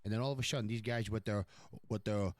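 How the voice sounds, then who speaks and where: A man playing a gruff, profane New York mafia boss in improvised online roleplay, emphatic and repeating himself. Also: fairly low pitch, fairly even intonation, fast, a little mellow, no echo, clean studio sound, natural voice. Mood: scornful.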